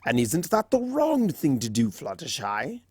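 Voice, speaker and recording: A man's voice: mid-range voice, wildly swooping pitch, a little slow, a little mellow, slightly rough, no echo, studio quality, full character voice. A man voicing a mischievous, smug male chaos creature from a cartoon fan story, theatrical and mocking, drawing out his words. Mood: smug teasing.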